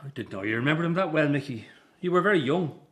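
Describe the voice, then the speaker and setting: A man acts a weary, middle-aged father from a circus family in a radio play. His voice is fairly high for a man and very animated in pitch, playing someone guarded and wounded. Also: fast talker. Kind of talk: radio drama acting.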